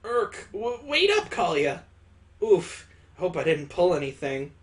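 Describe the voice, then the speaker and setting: A man voicing a gentle, hapless father in a visual novel, meek and hesitant. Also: high voice, sing-song, a little slow. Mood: flustered.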